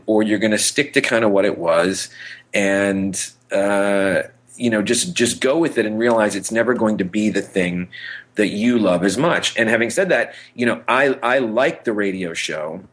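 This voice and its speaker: A man co-hosting a podcast in casual fan discussion, speaking emphatically and repeating phrases for stress. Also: fairly low pitch. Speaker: podcast co-host. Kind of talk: casual film discussion between fans.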